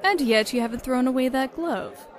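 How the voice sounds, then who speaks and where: A woman acts out a shrewd female mercenary in a fantasy audio drama. Her voice is a little high, and she speaks bluntly and knowingly. A faint crowd murmurs behind her. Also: normal speed, natural voice. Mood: probing, knowing. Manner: pointed, knowing.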